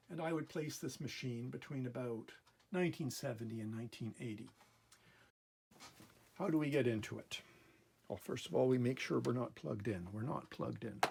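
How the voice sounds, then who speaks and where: A man hosting a casual hands-on repair demonstration at a workbench, explaining methodically step by step in a gravelly, husky voice. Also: medium pitch, a little mellow. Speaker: host of a repair channel. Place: indoor workbench in a workshop.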